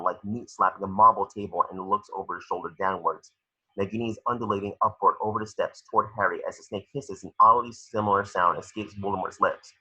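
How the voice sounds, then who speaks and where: A man's voice: fairly low pitch, sharp tone, husky, dull audio. A man reading screenplay stage directions as narrator at an amateur table read, occasionally stumbling over the words.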